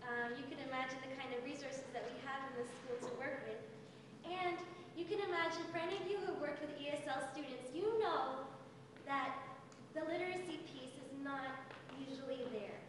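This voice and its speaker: High-voiced woman addressing a hall. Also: normal speed, noisy background. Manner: direct, engaging, addresses the audience. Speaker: teacher presenting to an audience. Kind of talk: presentation to an audience. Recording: echoey hall, far from mic.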